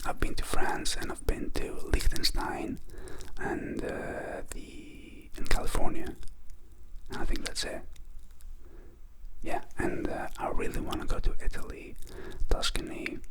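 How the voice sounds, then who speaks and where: Whispering man, ASMR. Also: low-quality recording. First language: Greek. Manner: soft unhurried whisper with many fillers. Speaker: ASMR channel host.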